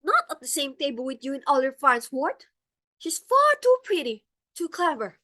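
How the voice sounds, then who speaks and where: A woman's voice: high-pitched, big pitch swings, moderate pace, a bit bright. A woman playing a haughty, fretful society hostess in a stage comedy, exclaiming imperiously and melodramatically. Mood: flustered, haughty. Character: haughty, fretful society matron hosting a dinner party. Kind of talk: theatrical stage comedy acting.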